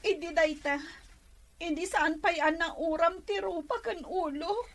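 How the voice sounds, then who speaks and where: Woman playing a very high-voiced, timid young woman. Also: a little slow, a bit bright, heavily acted. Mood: tearful, doubtful. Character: shy, wounded young woman hiding from her sweetheart. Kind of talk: Ilocano audio drama acting.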